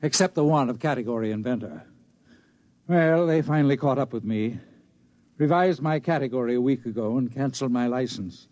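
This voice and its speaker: A man's voice: mid-range voice, brisk, darkish tone, lightly acted. An actor playing a shaky, rambling old man in a 1950s radio drama. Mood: chummy. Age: older. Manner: indignant, rambling, chummy. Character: shaky old male failed category inventor.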